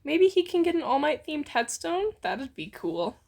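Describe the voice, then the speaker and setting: A woman's voice: high voice, very animated, moderate pace, gravelly, darkish tone. A woman casually reading a fan fiction story aloud as narrator.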